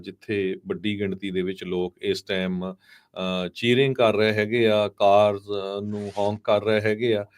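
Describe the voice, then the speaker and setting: A radio news presenter, a man. He relays live reports in halting phrases, mixing in English terms. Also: on the low side, flat delivery.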